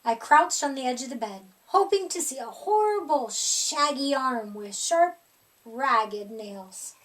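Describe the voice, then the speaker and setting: A woman reading a picture book aloud as expressive children's story narration. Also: sits high, takes it slowly, distant mic. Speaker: storybook reader.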